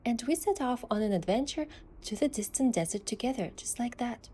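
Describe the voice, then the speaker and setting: A woman's voice: a little high, expressive, a little fast, warm tone, slight background noise. A woman giving scripted first-person narration of an adventure story as its protagonist.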